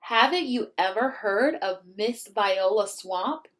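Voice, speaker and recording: A woman's voice: medium pitch, lively intonation, slow pace, fairly bright tone. A woman voicing a big, knowing schoolkid in a storybook who warns and taunts younger pupils with emphasis. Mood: taunting. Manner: emphatic, ominous warnings.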